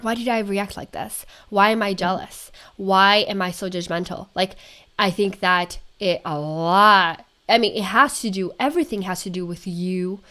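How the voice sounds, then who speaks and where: A woman's voice: medium pitch, normal speed, pushed and bright. Gushing, rambling woman host. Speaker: podcast host. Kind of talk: casual interview chat.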